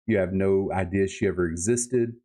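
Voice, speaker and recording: A man with a fairly low voice hosts a casual book-review chat. He speaks in an enthusiastic, colloquial way, leaning on his words for emphasis as he shares his opinions. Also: normal speed, warm tone. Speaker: podcast host reviewing books.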